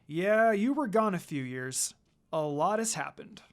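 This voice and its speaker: Woman reading as an easygoing male ninja teacher, lively pitch. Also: a little slow. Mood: friendly. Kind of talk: fan fiction character dialogue read aloud.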